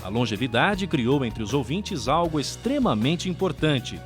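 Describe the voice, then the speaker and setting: A man's voice: medium pitch, very animated, measured pace, over music. A Brazilian man reading scripted documentary narration.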